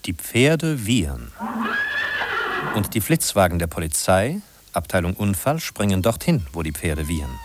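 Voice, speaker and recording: Low-voiced, swooping radio play narrator. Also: male voice, background noise. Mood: solemn, foreboding. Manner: rhetorical, emphatic, questioning then answering himself. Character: narrator addressing the listener, urgent, musing guide to a big city. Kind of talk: 1950s German radio drama narration.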